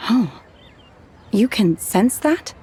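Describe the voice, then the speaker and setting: A woman's voice: fairly high pitch, lively intonation, slow, warm tone, slight background noise. A woman voicing a humble, reserved disciple in a fantasy video game, with a hesitant, deferential way of speaking. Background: faint game music.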